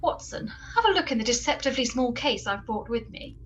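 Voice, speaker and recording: A woman playing an earnest, bossy gadget-expert sidekick in a comedic radio play, brisk and deadpan. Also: a little high, highs cut off, noisy background, lightly acted.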